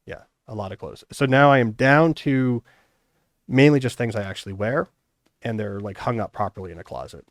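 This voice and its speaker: A middle-aged man chats his way through a podcast episode, joking around and restarting his sentences as he goes. His voice sits at an everyday male pitch. The sound is close and dry. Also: moderate pace, no echo. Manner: joking, conversational, loose restarts. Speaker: podcast host. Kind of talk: casual podcast chat.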